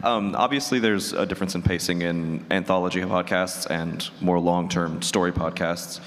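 A man's voice: low voice, steady tone, normal speed, a bit bright. A man hesitantly asking a casual question as an audience member. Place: large convention room. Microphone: audience microphone. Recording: echoey hall.

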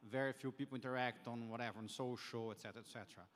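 A man's voice: medium pitch, fairly even intonation, rapid-fire. A man with a Brazilian Portuguese accent asking a hesitant question from the audience at a conference, with many fillers and restarts. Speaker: podcast host asking a question. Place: conference hall. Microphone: handheld audience mic. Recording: roomy hall with long reverb.

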